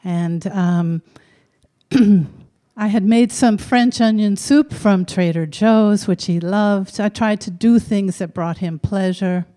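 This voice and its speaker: Unhurried, warm-voiced woman telling her story. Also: mid-range voice. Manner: frank, unhurried, conversational with occasional fillers. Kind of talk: personal storytelling to a live audience.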